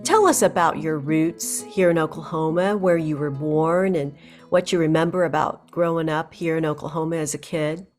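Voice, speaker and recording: Lower-voiced woman news host. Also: fairly even intonation, darkish tone. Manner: measured, scripted questions. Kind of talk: news interview. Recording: music bed underneath.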